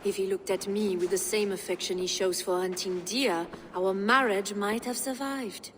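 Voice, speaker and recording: A woman's voice: mid-range voice, fairly even intonation, normal speed, slight background noise, lightly acted. An actress playing a poised, bitter noblewoman in a video game, speaking in arch, dry, elegant phrases. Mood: scornful. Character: poised, bitter Frankish noblewoman, unhappy wife. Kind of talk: video game cutscene acting. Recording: long hall reverb, prominent music.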